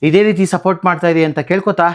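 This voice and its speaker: A man's voice: high-pitched, steady tone, racing. Man giving a casual hands-on tutorial. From Karnataka, India. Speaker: motovlogger, channel host.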